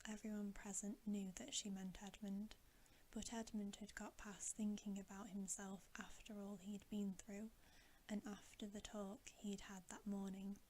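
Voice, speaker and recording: A woman whispering a bedtime story in a hushed, breathy, gentle and unhurried ASMR style. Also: mid-range voice, a little hiss or hum. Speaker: ASMR reader.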